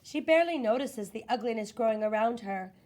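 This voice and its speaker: Female storybook reader, slightly high voice, expressive narration, deliberate dramatic phrasing. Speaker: children's book reader.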